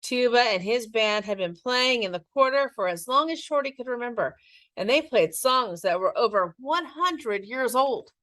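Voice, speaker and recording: A woman reading a children's picture book aloud in an expressive, teacherly storytelling way. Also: fairly high pitch, normal speed, no room sound.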